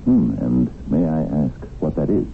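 A man acting in a radio drama as a measured, questioning gentleman, on a muffled vintage recording. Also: very deep voice, animated, vocal fry, slight background noise, heavily acted. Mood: probing, surprised. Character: measured man probing a newly impoverished acquaintance.

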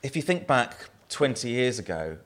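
A man's voice: mid-range voice, big pitch swings, normal speed, fairly bright tone. A man with a southern English accent, a brewer being interviewed, who talks in a rambling way with many restarts. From southern England.

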